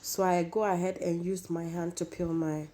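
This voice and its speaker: A woman hosting a cooking tutorial, hoarse from losing her voice, chatty and repeating herself as she talks to viewers. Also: on the low side, level tone, moderate pace, mellow, slight background noise. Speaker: cooking channel host.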